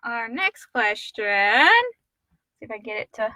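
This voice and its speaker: A woman's voice: on the high side, measured pace, sharp tone, no echo. A woman co-hosting a casual live question and answer chat, informal, reading questions and chipping in briefly. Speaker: co-host reading out listener questions.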